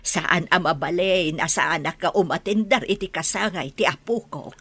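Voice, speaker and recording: An actress with an Ilocano accent playing a firm but forgiving elderly grandmother with a husky voice in a radio drama. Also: on the low side, moderate pace, fairly bright tone, no echo. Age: older.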